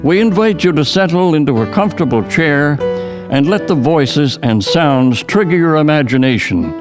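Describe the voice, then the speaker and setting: A man's voice: on the high side, lively intonation, normal speed. A man presenting radio dramas with a scripted introduction. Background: plucked guitar music.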